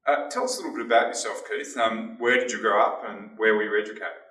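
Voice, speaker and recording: A man hosting a podcast, introducing his guest and asking questions in a halting way with frequent uhs. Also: lowish voice, bright, forceful tone. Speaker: podcast host. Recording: roomy sound, mic set at a distance.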